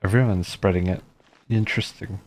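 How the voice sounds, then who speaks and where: A man's voice: low-pitched, fairly even intonation, a little fast, darkish tone, soft background music. A man streaming a game playthrough with casual, laid-back, brief reactions. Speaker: gaming streamer. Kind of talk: casual playthrough commentary, reading some narration aloud.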